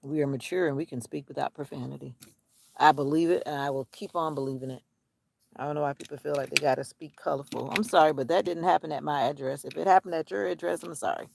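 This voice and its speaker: A woman with a creaky, gravel-edged voice chats as a vlogger out on a walk. She wanders from thought to thought, half to herself and half to her viewers. The sound is dry, with very little echo around her. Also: low voice, steady tone, fast, a little mellow. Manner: rambling, musing to herself and viewers. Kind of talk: casual outdoor vlog chat. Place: outdoors on a nature walk.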